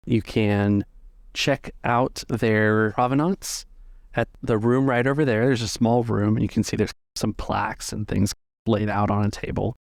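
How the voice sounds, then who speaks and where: A man's voice: on the low side, vocal fry, darkish tone, no room sound, clean studio sound. A man improvising scene narration as game master of a tabletop roleplaying game.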